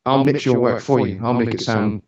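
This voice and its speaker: A man's voice: mid-range voice, fairly even intonation, a little fast, darkish tone. A man in his forties with a mixed Scottish British accent, telling stories casually in a chatty, informal, lightly joking way. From Scotland, grew up on the road around the UK. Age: 40s. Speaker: interview guest, musician and studio owner. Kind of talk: casual conversational storytelling. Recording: echoey room, distant mic, dull sound.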